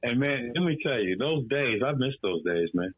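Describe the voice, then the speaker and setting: A man's voice: medium pitch, expressive, moderate pace, husky, dry recording. Guy in his 50s calling into a radio show, easygoing, says man a lot, phone-line sound. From Detroit, Michigan. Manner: laid-back, says "man" constantly. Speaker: radio show guest, firearms instructor. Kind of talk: casual radio chat.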